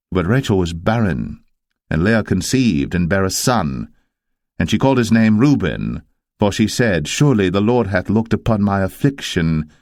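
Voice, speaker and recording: A male narrator reads scripture in a low voice. His delivery is solemn and measured, like scripted storytelling, and the recording is close and dry. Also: darkish tone, no room sound.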